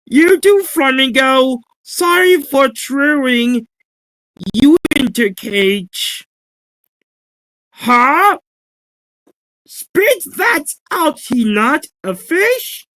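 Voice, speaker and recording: A woman's voice: sits high, very animated, drawn-out words, long pauses, a bit bright, no echo, full character voice, low-quality recording. Blunt cartoon character, played by a woman: clumsy, choppy exclamations, amateur acting, dry sound. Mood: brisk, indignant.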